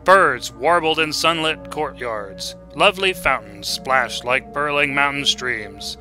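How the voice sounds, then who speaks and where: A man narrating a short story in a dramatic, scripted style. His voice is very bright and forceful at a medium pitch, with music playing underneath. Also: takes it slowly.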